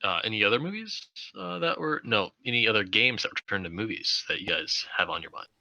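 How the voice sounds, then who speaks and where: A man with a very bright, sharp voice, pitched slightly low, co-hosts a casual film chat. He feels his way through sentences haltingly, and the sound is dry. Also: expressive, gravelly, no room sound, rough recording. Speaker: film podcast co-host.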